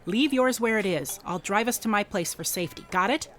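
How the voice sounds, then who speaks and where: A woman's voice: mid-range voice, lively intonation, brisk, close to an everyday voice. An actress playing a shrewd, protective, commanding woman investigator in a contemporary radio drama, speaking firmly and directly. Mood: urgent, commanding.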